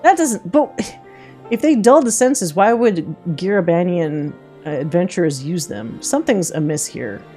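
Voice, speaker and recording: A woman game streamer gives blunt live commentary over faint game music. Her voice is slightly dark and mellow, with exasperated asides as she plays. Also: on the low side, animated, unhurried. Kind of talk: live playthrough reactions between read-aloud dialogue.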